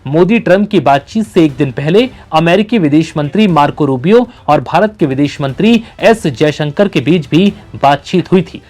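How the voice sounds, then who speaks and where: A man's voice: high-pitched, darkish tone. A man reading scripted news narration as a presenter. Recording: music bed under the voice. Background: background music.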